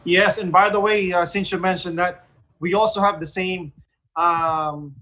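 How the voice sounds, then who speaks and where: A man's voice: high-pitched, steady tone, a little slow, bright, forceful tone, rough recording. A man with a Filipino accent chatting casually as the host of a radio talk show.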